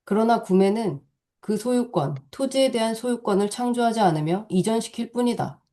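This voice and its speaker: Brief: female seminar participant, warm mellow tone, steady read-aloud. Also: on the high side, normal speed. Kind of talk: reading a text aloud.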